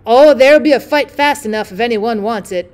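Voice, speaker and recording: A woman voicing a young man delivering a grim warning, drawn out slowly and with weight. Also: a little high, darkish tone, clean tone, lightly acted. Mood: grave. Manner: slow, grave, emphatic.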